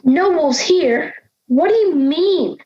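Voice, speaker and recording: Woman acting audio drama wolf, high voice, indignant, plaintive, wounded at being feared. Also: animated, takes it slowly, dull audio. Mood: indignant, hurt. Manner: plaintive, protesting.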